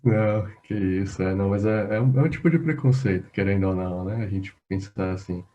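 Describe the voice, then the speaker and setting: Brazilian man host, teasing and jokey, low mellow voice. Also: lo-fi sound. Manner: jokey, teasing, quick to laugh. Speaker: interview host. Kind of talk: casual interview chat.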